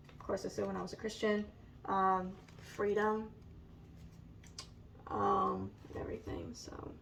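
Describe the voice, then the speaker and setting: A woman with a raspy, allergy-hoarse voice, slowly and hesitantly showing a scrapbook in a casual vlog. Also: mid-range voice, a bit bright, recorded from a distance, a little hiss or hum, rough recording. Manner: slow and hesitant, many ums. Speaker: vlogger. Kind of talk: casual show-and-tell vlog.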